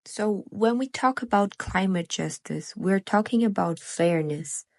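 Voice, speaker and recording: A young woman from Brazil, in her twenties, co-hosting a podcast and reading a prepared script in a steady way. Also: mid-range voice, unhurried, no room sound, studio quality. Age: 20s. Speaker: podcast co-host.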